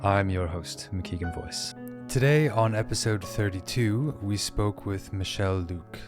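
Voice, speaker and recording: A man's voice: lowish voice, a little slow. A man, the podcast's host, reading a friendly scripted show introduction. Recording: intro read over guitar music.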